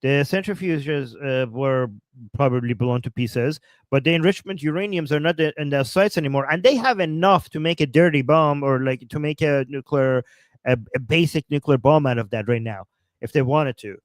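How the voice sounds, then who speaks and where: A man with a Persian accent, talking as host of a casual political livestream, excited and rambling, with many fillers and restarts. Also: fairly high pitch, normal speed, no room sound.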